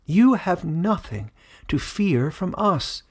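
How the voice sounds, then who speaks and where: A man's voice: high voice, sing-song, slow pace, pitched above the performer's own voice, full character voice. A man voicing a proud, scheming warrior queen in a fantasy audiobook, with a declamatory, persuasive delivery. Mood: reassuring, persuasive.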